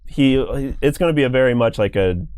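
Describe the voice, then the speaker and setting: A young adult man with a nasal, monotone voice, chatting casually as a guest player. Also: mid-range voice, brisk, a crowd in the background. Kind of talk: casual chat.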